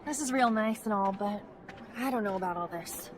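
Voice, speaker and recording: A woman's voice: mid-range voice, normal speed, noisy background, natural voice. A woman playing a wry, salty-tongued rover mechanic in a game cutscene, talking casually. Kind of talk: video game cutscene acting.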